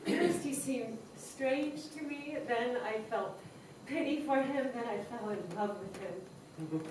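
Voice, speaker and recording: A woman's voice: on the high side, takes it slowly, background noise. An actress playing a woman secretly in love who confides in her sisters, in an earnest, confessional stage delivery. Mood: passionate. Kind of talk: stage play acting. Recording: echoey stage recording from far off.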